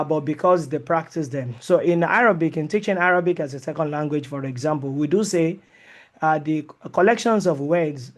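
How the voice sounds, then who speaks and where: Halting man teaching, high-pitched. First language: not English. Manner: hesitant, many uh and um fillers; mixes in Arabic and Islamic terms. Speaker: Sharia teacher. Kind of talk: online lecture with live Q&A.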